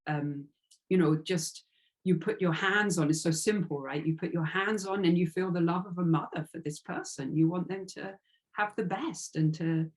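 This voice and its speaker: A woman's voice: fairly low pitch, moderate pace. A woman giving a reflective interview as an author, speaking earnestly and tentatively and circling around her phrases.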